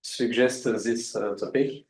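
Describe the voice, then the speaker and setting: A man presenting his student work, halting and filling pauses as he answers a question. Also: medium pitch, fairly even intonation, a little mellow. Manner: halting, with many fillers. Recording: roomy hall, faint background noise.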